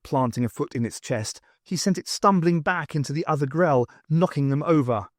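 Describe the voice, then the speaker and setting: A man narrating scripted fiction for an audiobook in an expressive, theatrical storytelling manner. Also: on the high side, moderate pace, dry recording, clean studio sound.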